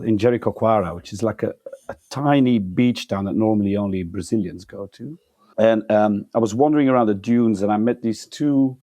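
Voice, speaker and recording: A man with a Flemish accent and a lowish voice, recorded dry and close. He is a podcast guest who chats and tells stories casually, with a jokey, self-deprecating touch. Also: mellow, dry recording. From Flanders, Belgium. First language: Flemish Dutch. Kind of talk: casual anecdotal storytelling.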